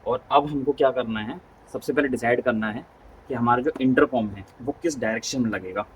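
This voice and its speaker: A man's voice: mid-range voice, brisk. A man giving a casual hands-on tutorial as a vlogger, informally thinking aloud step by step. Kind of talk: casual hands-on tutorial chat in Hindi mixed with English words. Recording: clearly noisy background ambience.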